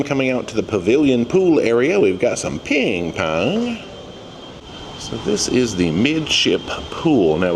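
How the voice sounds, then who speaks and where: Male vlogger, lowish voice with very lively pitch, playful and casual, clear background noise. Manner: playful, exclamatory. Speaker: travel vlogger. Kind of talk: casual vlog narration. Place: on board a cruise ship, various spaces.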